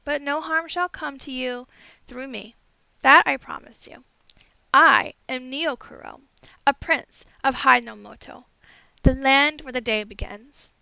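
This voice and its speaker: A woman's voice: high-pitched, a little slow, creaky, pushed and bright, no echo, lo-fi sound, heavily acted. A woman voicing a gallant, smitten young prince in a fairy tale, courteous and earnest. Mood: gentle, earnest.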